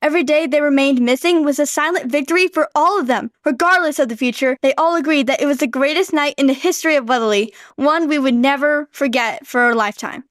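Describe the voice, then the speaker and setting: A woman's voice: sits high, fast talker, few pauses, fairly bright tone, no echo, clean studio sound. A woman reading scripted story narration for a radio play.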